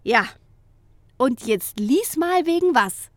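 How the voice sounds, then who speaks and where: A sulky, reluctant young witch from a comic audio drama, played by a woman. Her high voice rises and dips a lot as she protests in exasperation, at an unhurried pace, in a dry recording. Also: expressive, dry recording. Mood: indignant.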